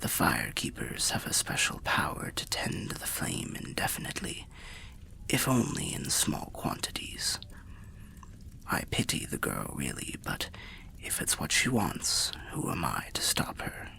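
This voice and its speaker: A man voicing a weary old undead wanderer telling fantasy tales in ASMR roleplay, half whispered and gravelly, with a measured, wry, old-fashioned way of speaking. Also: huge pitch swings, sharp tone, exaggerated voice. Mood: somber, hushed. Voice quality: breathy whispering, gravelly low voice. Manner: measured, archaic, wry storyteller.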